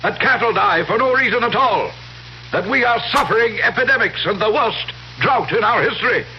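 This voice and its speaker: An actor playing a stern, suspicious small-town reverend in 1970s radio drama style. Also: male voice, sits high, animated, fairly quick, a little hiss or hum. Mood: stern, accusing. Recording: muffled old radio broadcast.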